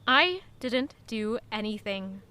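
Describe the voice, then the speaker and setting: A woman playing a quietly resolute young woman in an audio drama, narrating in a measured, reflective way. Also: mid-range voice, expressive, a little hiss or hum. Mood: firm, composed. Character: guilt-ridden, quietly resolute young woman returning to her hometown. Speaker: narrator and lead character. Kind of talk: first-person scripted audio drama narration.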